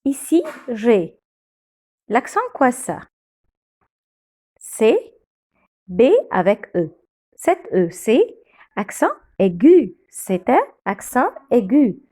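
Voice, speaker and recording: A woman, a native Arabic speaker, gives a French lesson at a very slow, careful pace. She stretches and repeats each sound for learners, and her pitch rises and falls widely as she stresses words. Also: very animated, warm tone. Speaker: French teacher. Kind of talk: slow classroom explanation of French accents.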